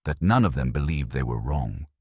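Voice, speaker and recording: A man's voice: a bass voice, animated, moderate pace, darkish tone, husky, no room sound, clean studio sound, exaggerated voice. A man voicing a stern, pedantic, imperious detective with formal, precise phrasing, in an audiobook.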